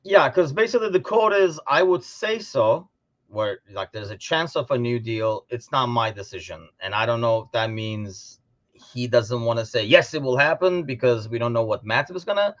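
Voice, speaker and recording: A man co-hosting a casual sports podcast, talking informally and thinking out loud as he discusses. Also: medium pitch, expressive, moderate pace, muffled recording. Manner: informal, conversational, thinking aloud. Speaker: podcast co-host.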